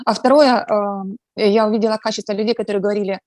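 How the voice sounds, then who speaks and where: A woman from Ukraine, a guest in a casual interview, speaking earnestly and reflectively with many pauses and filler sounds. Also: mid-range voice, steady tone, darkish tone, dry recording, clean studio sound. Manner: earnest, talks about faith.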